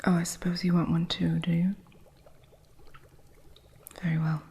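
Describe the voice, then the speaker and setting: A woman voicing a stern, impatient witch who runs a curio shop, in a soft whispered ASMR roleplay with a strict, haughty manner. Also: fairly low pitch, level tone, vocal fry, slight background noise. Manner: strict, haughty, slow and deliberate.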